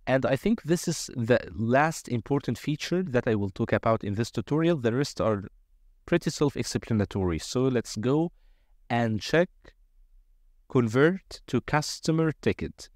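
Male tutorial presenter, mid-pitched, semi-improvised step-by-step walkthrough, close and dry voice-over. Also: lively intonation, unhurried, a little mellow, no room sound.

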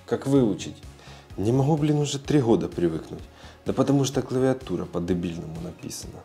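A man's voice: mid-range voice, animated, fairly quick, warm tone, faint music behind. A man in his thirties giving a casual, blunt, informal tutorial as a video blogger. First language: Russian. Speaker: video blogger sharing language-learning tips.

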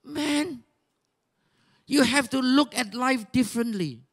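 Exhorting older Malaysian pastor, high-pitched. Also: male voice, slow pace. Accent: Malaysian English. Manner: animated, exhorting, rhetorical questions, bursts of shouting. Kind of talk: sermon. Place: large church stage. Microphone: handheld mic.

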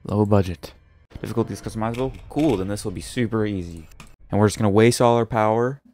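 A man's voice: lowish voice, fast talker, darkish tone, slight background noise. A young man giving casual, offhand live gaming commentary, muttering to himself and swearing. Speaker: game streamer.

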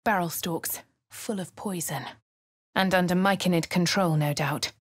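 A dry, posh English adventuress. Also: female voice, fairly low pitch, unhurried, no echo. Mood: wry. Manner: crisp, clipped, dryly sardonic. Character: posh young female adventurer, dry and wry. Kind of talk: video game character voice lines. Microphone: close studio mic. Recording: dry studio recording.

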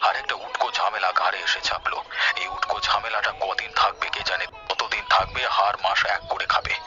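Male actor as low-pitched village husband, cartoon, weary, grumbling, dull sound over music. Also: level tone, brisk, lightly acted. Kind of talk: cartoon voice acting.

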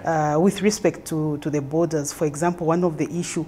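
Low-voiced woman from Zambia, explanatory. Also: fairly even intonation, fairly quick, dark tone, slight background noise. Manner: fluent, explanatory, occasional fillers. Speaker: government trade official. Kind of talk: on-camera interview answers. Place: conference room indoors.

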